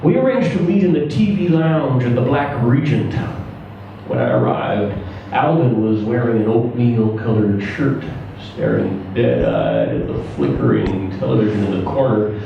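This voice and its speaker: A man giving a live reading of a scripted first-person story, measured and deadpan with deliberate pauses. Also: mid-range voice, gravelly, muffled recording. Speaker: story reader. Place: indoor live reading before an audience. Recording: very echoey room, distant mic, faint noise.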